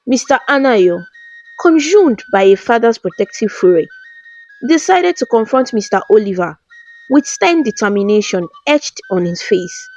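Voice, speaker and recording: A woman storyteller reading a scripted folktale in a dramatic, formal manner. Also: mid-range voice. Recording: slightly dull lo-fi voice-over. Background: soft background music with flute.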